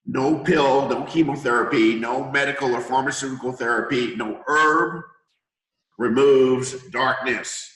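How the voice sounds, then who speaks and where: A middle-aged man with a hoarse voice from a cold, hosting an interview as a healer and explaining things at length with analogies. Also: mid-range voice, unhurried, fairly bright tone, echoey room, poor audio. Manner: confident, lecturing with analogies. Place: room in Thailand.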